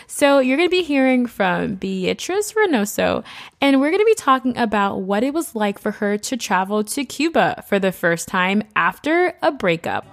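A woman's voice: mid-range voice, big pitch swings, normal speed. Upbeat woman hosting a podcast. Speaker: podcast host. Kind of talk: podcast interview. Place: bar.

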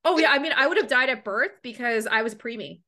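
Bright-voiced chatty woman podcast host. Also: on the high side, fast talker. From Canada. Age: 20s. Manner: swears freely, animated and chatty. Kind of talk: casual interview chat.

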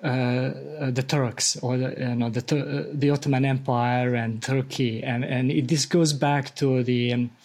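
A man from Bosnia hosts a conversational podcast interview, searching for words with frequent pauses. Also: medium pitch, steady tone, a little mellow. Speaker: podcast host.

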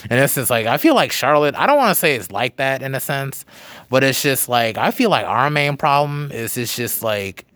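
A man's voice: medium pitch, animated, moderate pace, fairly bright tone, no echo. A young man hosting a podcast, talking casually and rambling with many fillers and restarts. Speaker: podcast host. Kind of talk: casual conversation.

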